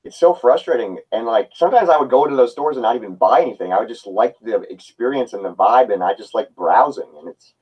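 A man's voice: medium pitch, quick pace, hardly any pauses, breathy edge, low-quality recording. A man in his twenties talking casually and nostalgically as a guest in a video-call discussion. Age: 20s. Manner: nostalgic, relaxed.

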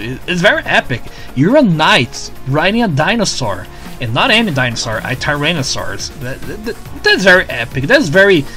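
A Brazilian man with a Brazilian Portuguese accent, reviewing a show in a casual, excitable way, stumbling over repeated words and tagging sentences with "né?". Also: on the high side.

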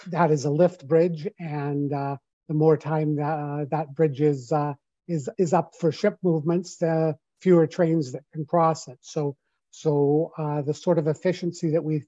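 A middle-aged man, a port authority advisor on a video call panel, speaking haltingly with many fillers and repeats. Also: a little high, mellow, no echo. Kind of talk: panel discussion answer. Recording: dull lo-fi video call audio.

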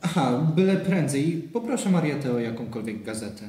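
A man's voice: medium pitch, lively intonation, fairly quick, dark tone, close to an everyday voice. A man playing a stiff, cautious former deputy minister in a radio drama, formal and dry. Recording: roomy echo with faint music.

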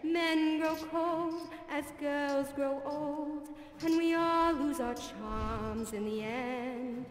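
A high-voiced, glamorous diva cat crooning and gushing endearments, as a woman puts her on, unhurried and theatrical. She sounds from a roomy hall with a long echo, music playing behind her. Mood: sultry singing. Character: glamorous diva female cat cabaret singer. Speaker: variety show performer. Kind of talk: cabaret song and stage patter.

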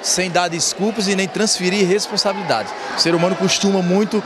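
A man's voice: sits high, fairly even intonation, fairly quick. A man in his thirties from northeastern Brazil, a former athlete turned motivational speaker, answering an interview earnestly into a handheld mic in a school gym full of students. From Rio Grande do Norte, Brazil. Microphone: handheld reporter mic. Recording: loud crowd noise in a gym.

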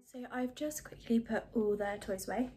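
A woman in her twenties chatting casually through her evening routine at home, rambling with lots of ums and quick asides. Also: on the high side, over loud music. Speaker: vlogger. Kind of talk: casual chat. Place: small flat, indoors, lounge, kitchen and bathroom. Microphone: phone held at arm's length.

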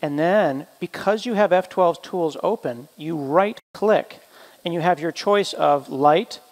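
A man with a fairly high voice hosts a live tech show, bantering with his guest in a playful, teasing way. There is a long reverb tail around his words, as if he is heard in a large space. His delivery stays upbeat and chatty. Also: unhurried. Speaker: event host. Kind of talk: casual hosted conversation.

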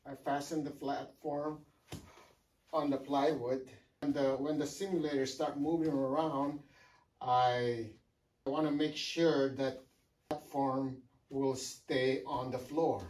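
A middle-aged man giving a casual walkthrough of his home-built project, halting with many fillers and restarts. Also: medium pitch, flat delivery, darkish tone, slight background noise, rough recording. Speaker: DIY builder. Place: home room with sim cockpit, indoors.

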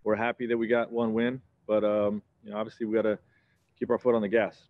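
A man in his forties, a head coach giving measured postgame answers at a press conference, full of "you know" and "um" fillers. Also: on the low side, flat delivery, narrow, tinny audio. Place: press conference backdrop, indoors.

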